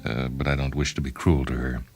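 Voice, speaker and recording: Very deep, deadpan disgruntled inventor, read by a man. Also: fairly quick. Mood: weary, despairing. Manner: deadpan, wry, fussily verbose. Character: disgruntled, self-justifying inventor, a married time traveller. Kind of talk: first-person dramatised short-story reading.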